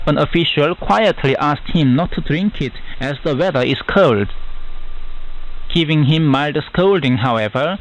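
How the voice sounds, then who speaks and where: A man reading a formal, measured scripted narration as a radio announcer on a shortwave broadcast. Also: a little high, slight background noise, rough recording.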